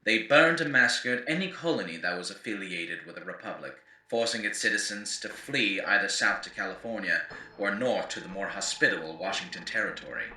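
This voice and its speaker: Man acting forceful, theatrical post-apocalyptic chronicler. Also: fairly low pitch, animated, reverberant, far from the mic, low-quality recording. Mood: grave, dramatic. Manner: theatrical, formal, measured oratory with dramatic emphasis. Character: grave, eloquent male chronicler of a post-apocalyptic world. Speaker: narrator and host. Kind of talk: scripted in-character lore narration for an audio series.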